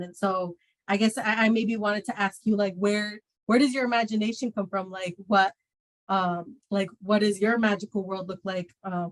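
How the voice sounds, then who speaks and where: A woman's voice: mid-range voice, poor audio. An American woman co-hosting a virtual book event as a bookseller, reflective and hedging her questions with many fillers. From United States. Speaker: bookseller co-host.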